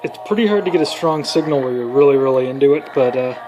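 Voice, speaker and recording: A young man giving a casual, unscripted demonstration, pausing as he thinks aloud. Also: mid-range voice, lively intonation, muffled recording, over music. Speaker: electronics student demonstrating a homemade radio project. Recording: noisy amateur home recording with clicks.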